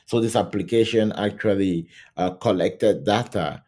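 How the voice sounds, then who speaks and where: A man's voice: lowish voice, unhurried, a little mellow. A middle-aged man from Cameroon coaching to camera on job interviews, talking loosely and often checking in with "right?". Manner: conversational, repeats "right?" and restarts often. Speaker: scrum coach. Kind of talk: teaching to camera. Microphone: camera mic, facing camera.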